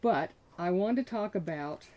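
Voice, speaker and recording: A woman's voice: lowish voice, lively intonation, a little slow, mellow. A woman in her sixties, from Connecticut, chatting cheerfully as a guest and showing sewing techniques, with frequent small laughs and fillers. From Connecticut, USA. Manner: cheerful, laughs often, fills with uh and um. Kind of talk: casual chat and sewing demonstration.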